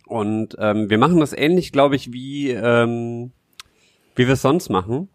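A man co-hosting a podcast in an informal, halting way, searching for his words as he chats. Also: mid-range voice, dry recording, studio quality. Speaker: podcast co-host. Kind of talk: casual chat.

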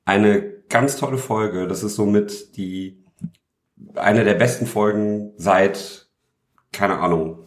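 A man's voice: fairly low pitch, takes it slowly. A man co-hosting a casual podcast chat, talking enthusiastically with many filler sounds. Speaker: podcast co-host. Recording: roomy echo.

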